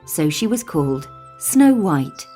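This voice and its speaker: A woman storyteller narrating a scripted fairytale for young children with deliberate, dramatic phrasing. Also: on the low side, very animated, dark tone. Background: music.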